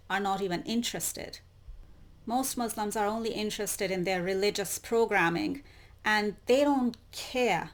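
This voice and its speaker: Woman host, solo spiritual talk, unhurried and deliberate, repeats her questions, mid-range voice. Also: medium pitch. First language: not Arabic. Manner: slow, deliberate, repetitive questioning. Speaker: YouTube channel host. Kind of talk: solo spiritual monologue to camera.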